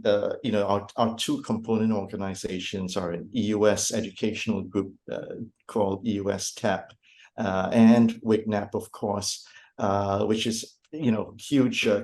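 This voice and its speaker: Low-voiced man, hesitant and chatty. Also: fairly even intonation, moderate pace, darkish tone. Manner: hesitant, many fillers. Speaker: interventional endoscopist, guest expert. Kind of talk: casual introduction.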